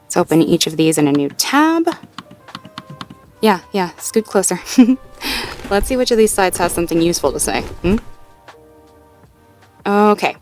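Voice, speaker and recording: Girlfriend role, female performer: lowish voice, supportive and playful, naturalistic intimate roleplay delivery, soft music behind. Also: very animated, a little slow, long pauses. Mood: playful, amused. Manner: gentle, encouraging, lightly teasing, natural hesitations and chuckles. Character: supportive, playful girlfriend helping partner problem-solve. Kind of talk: intimate roleplay audio, one-sided conversation.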